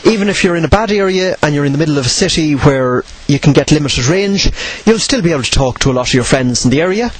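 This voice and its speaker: A man with a slightly husky voice giving an informal tutorial, explaining in a measured way and posing questions he then answers. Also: a little high, lively intonation. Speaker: presenter of instructional radio videos.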